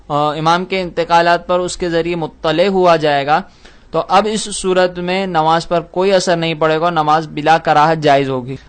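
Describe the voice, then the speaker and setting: A man's voice: a little high, clear, resonant tone. A man speaking as an Islamic scholar, explaining a religious ruling in a formal, methodical way with scholarly diction. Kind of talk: religious lecture, explanatory question-and-answer.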